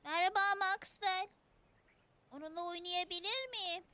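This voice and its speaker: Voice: very high voice, measured pace, pushed and bright, heavily acted. An actor playing a sweet, curious four-year-old boy in a preschool cartoon dub, with simple, childlike wondering speech. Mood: eager, friendly. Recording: music under the dialogue.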